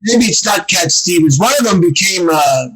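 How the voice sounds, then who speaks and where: A man guesting on a podcast as a comedian, talking in a rambling, halting way and sounding eager about what he likes. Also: sits high, expressive, a crowd in the background. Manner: rambling, halting with fillers, enthusiastic. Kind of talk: casual podcast chat.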